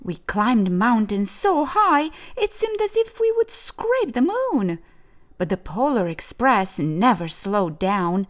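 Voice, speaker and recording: Female storyteller for children's read-aloud. Expressive and deliberate, taking her time, with lively swings in pitch. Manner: deliberate, dramatic phrasing for children. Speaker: children's storybook reader, host.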